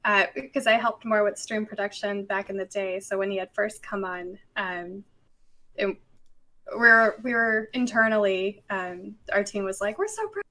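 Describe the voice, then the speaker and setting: A woman's voice: mid-range voice, measured pace, sharp tone. A woman speaking as a podcast guest, sharing stories in casual conversation. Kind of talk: casual chat, storytelling.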